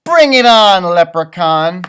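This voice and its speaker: Storybook gingerbread man, played by a male reader: crafty, plucky, proud, measured and boldly declarative. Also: high-pitched, sing-song, fairly bright tone, smooth, full character voice. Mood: confident, defiant.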